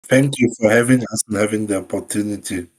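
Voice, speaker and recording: A man's voice: lowish voice, quick pace, a little mellow. A man from Kazakhstan, a guest from a crypto team, giving brief, hesitant answers in a casual chat.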